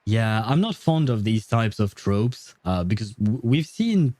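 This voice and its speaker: A man livestreaming a game with a dark, mellow voice. He talks casually and bluntly with wry swearing, in a dry, close sound. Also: on the low side, sing-song, unhurried, no room sound. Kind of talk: casual game stream commentary.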